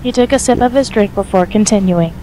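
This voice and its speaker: A woman narrates a piece of fiction from a script. Her voice sits at a medium pitch. A faint noise runs beneath the recording. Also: brisk, dark tone.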